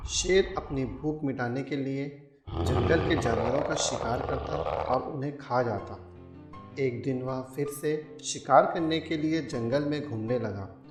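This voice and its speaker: A man narrating a scripted children's cartoon story in a steady, clear storytelling manner. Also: medium pitch. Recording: echoey with music under it.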